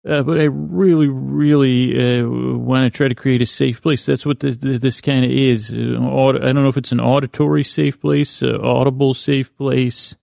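A man with a creaky, middle-pitched voice murmurs a meandering bedtime monologue in soothing tones. His delivery stays very flat, with halting restarts and sentences that drift off. The sound is close and dry, as a podcast host speaking straight to the listener. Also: no room sound. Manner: halting, meandering, with stammered false starts and trailing thoughts.

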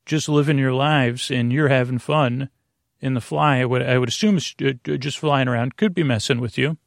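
A man with a gravelly voice hosting a sleep podcast in a meandering, halting monologue, often interrupting himself and drifting off on tangents. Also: medium pitch, no room sound, clean studio sound. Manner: meandering, halting, digressive, trailing off and self-interrupting. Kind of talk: rambling bedtime podcast monologue.

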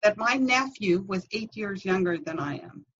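A middle-aged woman, a guest on a podcast, chatting casually and telling lively anecdotes in which she acts out other people's voices. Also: mid-range voice, normal speed, husky, lo-fi sound. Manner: animated storyteller acting out voices in anecdotes. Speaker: podcast guest, confidence coach. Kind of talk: casual interview chat.

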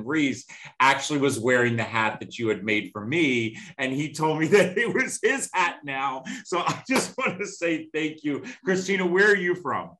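Man, fifties, talk-show host, blunt, bright forceful voice. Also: medium pitch. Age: 50s. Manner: blunt and emphatic, frequent "you know" and "okay". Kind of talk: podcast interview hosting.

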